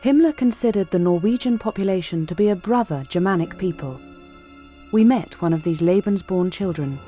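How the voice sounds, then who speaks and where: A woman narrates a historical documentary in a mellow, dark-toned voice. Her delivery is measured and formal. Soft music plays beneath her. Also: on the low side, animated.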